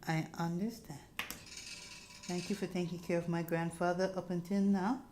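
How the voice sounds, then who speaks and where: A woman reads a calm young granddaughter from a horror game. She speaks softly, low-key and measured, with an apologetic temper. There is some background noise. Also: lightly acted. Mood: resigned, apologetic. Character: Nina, calm, apologetic granddaughter doubting the caretaker.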